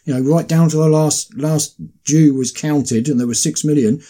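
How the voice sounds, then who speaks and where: A man's voice: on the high side, steady tone, moderate pace, warm tone. A man in casual discussion, commenting and repeating phrases for emphasis as he hosts a talk. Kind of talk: casual discussion with read-out quotations.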